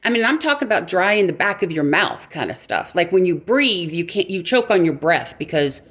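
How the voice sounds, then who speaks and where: A woman's voice: lowish voice, sing-song, fairly quick, a bit bright. A middle-aged woman vlogging casually, rambling and joking at herself, talking with a dry mouth that makes speaking hard. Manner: rambling, self-mocking, with frequent restarts and laughs. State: dry mouth, struggling to talk. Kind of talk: casual vlog monologue.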